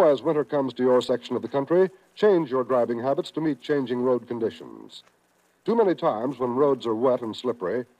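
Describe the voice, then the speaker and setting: A mellow-toned American male announcer from 1950s radio, with a medium pitch. He reads formally and with measured emphasis, on a dull old broadcast recording. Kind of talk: 1950s radio announcing, scripted safety message.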